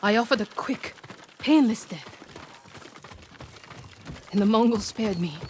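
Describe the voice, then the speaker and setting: A woman's voice: a little high, animated, slow pace, slight background noise. An actress playing a cocky, unrepentant female renegade archer, cool and blunt, in a dramatic video game scene. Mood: guarded, unrepentant. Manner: cool, blunt, self-assured. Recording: faint outdoor game ambience.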